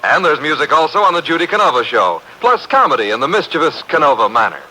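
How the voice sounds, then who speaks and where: A man announcing on 1940s radio with bright, emphatic promotional delivery. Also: a little high, sing-song, fast talker, a little hiss or hum, low-quality recording.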